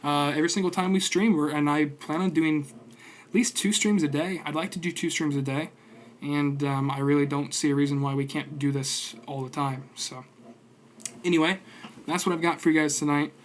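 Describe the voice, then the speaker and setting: A man's voice: a little high, fairly even intonation, moderate pace, a little hiss or hum. A man in his twenties giving casual, rambling stock commentary on his stream, full of fillers and restarts. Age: 20s.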